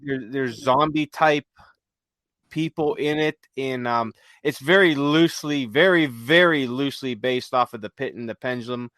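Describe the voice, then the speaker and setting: A man's voice: mid-range voice, moderate pace, fairly bright tone, no echo. A man co-hosting a podcast, enthusiastically recommending things in a casual chat and repeating phrases for emphasis. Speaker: podcast co-host.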